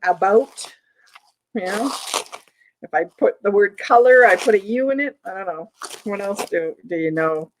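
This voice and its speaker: A woman's voice: mid-range voice, moderate pace, pauses often, slight background noise, poor audio. A woman with a Canadian accent, chatting casually to her viewers while unboxing, rambling and correcting herself as she goes. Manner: chatty, rambling, self-interrupting with fillers. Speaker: vlogger unboxing auction finds. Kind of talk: casual chat to camera. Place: sunny room indoors.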